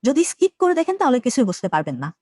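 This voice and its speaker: A man's voice: extremely high pitch, huge pitch swings, fast talker, mellow, no room sound, studio quality, voice pushed up, heavily acted. A man voicing a woman tutorial host through an AI voice changer that makes his voice female. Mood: friendly greeting. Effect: AI voice changer turning male to female. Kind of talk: tutorial greeting.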